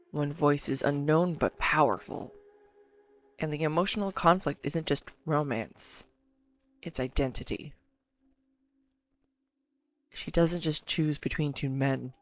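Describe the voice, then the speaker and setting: Woman playing an earnest, low-voiced enthusiastic girlfriend. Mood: earnest, absorbed. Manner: earnest, enthusiastic info-dumping with halting pauses. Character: excitable, affectionate neurodivergent girlfriend gushing over her favourite film. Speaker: amateur voice actor. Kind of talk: scripted roleplay audio monologue spoken to a listener.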